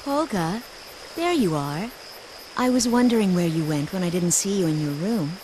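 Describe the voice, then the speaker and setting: A woman's voice: fairly low pitch, expressive, moderate pace, darkish tone, slight background noise, natural voice, rough recording. A woman playing a caring, reassuring adult woman in a video game cutscene. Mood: tender, reassuring.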